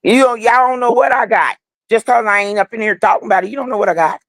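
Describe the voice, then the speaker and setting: A woman's voice: on the high side, fast talker, no room sound. A woman nearing sixty trades sassy, blunt comebacks in a casual chat. Her voice sounds dry and close, with no room sound around it. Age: 50s. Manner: blunt, sassy, salty retorts.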